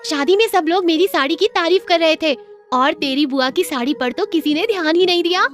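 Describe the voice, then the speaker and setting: A woman's voice: very high-pitched, fairly quick, faint music behind. A woman voicing a vain, mocking village gossip in a cartoon story. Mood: boastful.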